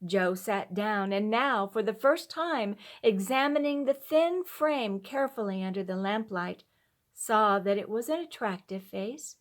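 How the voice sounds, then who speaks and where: Lady reading an old classic tale out loud, kinda dramatic and sentimental, medium pitch. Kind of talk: expressive scripted narration of old-fashioned story.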